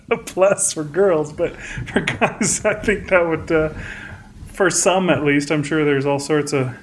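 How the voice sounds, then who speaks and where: A man's voice: a little high, slow, slight background noise. A man co-hosting a podcast in casual chat at home, talking in a rambling, easygoing way and laughing often. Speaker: podcast co-host. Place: home room with plate on wall.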